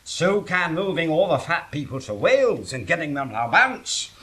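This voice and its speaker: A man playing a gruff, scheming leader of an invading army who speaks in a sly, teasing, knowing way. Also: a little high, very animated, normal speed, pushed and bright.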